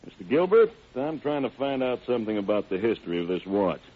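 An actor playing a steady, persistent sheriff's investigator who questions people methodically in an old radio crime drama. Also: male voice, fairly low pitch, fast, faint music behind. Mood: businesslike, courteous. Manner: methodical questioning, plain-spoken. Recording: muffled, narrow-band old radio broadcast.

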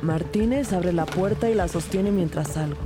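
An actress playing a determined police detective who narrates her investigation in a measured, suspenseful audio drama style. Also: fairly low pitch, natural voice. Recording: loud music bed behind voice.

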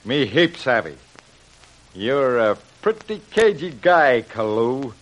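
An American actor playing a wisecracking, bossy gangster underboss in an old radio adventure serial. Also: male voice, medium pitch, very animated, slow, rough recording. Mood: sardonic. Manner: sardonic, bossy.

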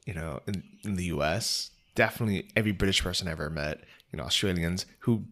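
A man, hosting and telling relaxed stories in casual conversation. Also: sits low, fast talker. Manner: relaxed storytelling, many fillers. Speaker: host.

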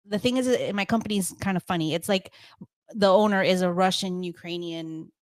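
A woman's voice: on the low side, brisk. A young woman co-hosting a casual podcast, chatty, laughing easily and trailing off with fillers. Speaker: podcast co-host. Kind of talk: casual chat.

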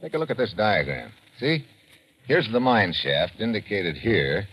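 An actor playing a brisk, tough, wry American investigator in an old radio drama. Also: male voice, lowish voice, very animated, husky, heavily acted. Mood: probing, urgent. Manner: brisk, informal, clipped. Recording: muffled old radio recording, loud music.

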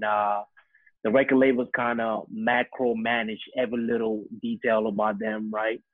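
A young man from Senegal talks about his music career. He speaks hesitantly, with lots of "uh" and "you know" as he thinks. His voice comes through a narrow, phone-like remote line with no room echo. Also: medium pitch. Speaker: music producer, guest. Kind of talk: relaxed interview answers.

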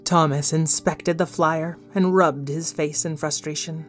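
A woman's voice: deep voice, steady tone, measured pace, faint music behind. A woman narrator and host delivering scripted narration for a children's audio story.

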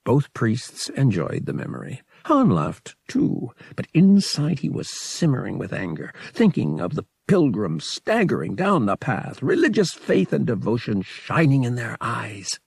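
Male audiobook narrator: husky, gravelly, expressive pitch, reading scripted fiction in a dry close space. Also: unhurried, hardly any pauses, no echo.

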